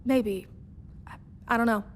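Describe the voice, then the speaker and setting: A woman's voice: a little high, fairly even intonation, measured pace, long reverb tail, slight background noise, natural voice. A woman playing an anxious, strict starship crew member in a radio play, speaking in a tense, pleading way.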